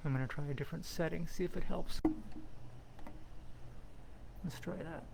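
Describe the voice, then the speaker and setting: Higher-voiced man over noticeable noise. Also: steady tone, moderate pace. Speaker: sound helper adjusting the microphone. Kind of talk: casual practical asides. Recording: clear background noise, clicks, uneven level.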